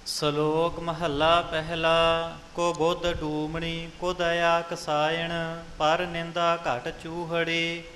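A man chants verses of scripture at a very slow pace, his tone level with little rise or fall. The recording is a reverberant hall with a long tail and a faint hiss. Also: a little high. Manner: measured, even chanting. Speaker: reciter of scripture.

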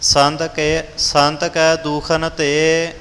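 Slow, flat-chanting man in a reverberant hall. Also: a little high, slight background noise. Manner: steady chanted singing, repeats lines when corrected. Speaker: scripture reciter. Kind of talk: melodic scripture recitation. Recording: long reverb with faint music.

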